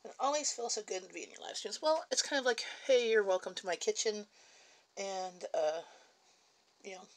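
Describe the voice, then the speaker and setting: A woman's voice: mid-range voice, moderate pace. A Canadian woman with a Canadian English accent chatting casually at home in her kitchen on a livestream, reading viewer comments aloud, answering them and laughing between remarks. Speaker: livestream host.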